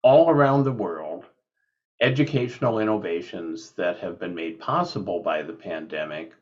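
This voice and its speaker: A man hosting a video call discussion, speaking slowly and deliberately with frequent fillers. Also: low-pitched. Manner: slow, deliberate, with many fillers. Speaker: podcast host. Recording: dull lo-fi call audio.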